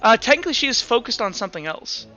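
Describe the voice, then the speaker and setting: A man working as game master for a tabletop roleplaying game, narrating off the cuff in a casual, halting way. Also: extremely high pitch, very animated, sharp tone. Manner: casual, halting, uses filler words. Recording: dull lo-fi, music underneath. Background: background music.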